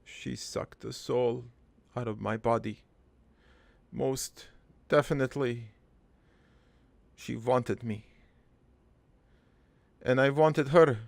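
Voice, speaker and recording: A man voicing a middle-aged, foreign-born cartoon father who rambles through his life story in a deadpan, halting, improvised monologue with a gravelly voice. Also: medium pitch, no echo. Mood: fond, wistful. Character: rambling, crude, wistful foreign-born immigrant father named Joey.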